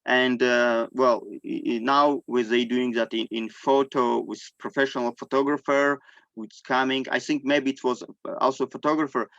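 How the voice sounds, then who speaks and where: A middle-aged man from Armenia, a native Armenian speaker, tells a personal story in halting non-native English, searching for words. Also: mid-range voice, steady tone, a bit bright, no room sound, muffled recording. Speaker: guest sharing personal testimony. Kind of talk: personal storytelling in an online discussion.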